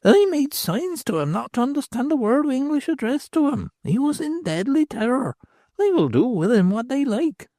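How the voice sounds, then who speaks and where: A reader voicing an old crone tavern hostess who gloats and gives ominous superstitious warnings in a shrill, cackling voice. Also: female voice, big pitch swings, moderate pace, dark tone, dry recording, clean studio sound. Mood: gloating. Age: older. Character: old crone innkeeper, sly and grimly fatalistic.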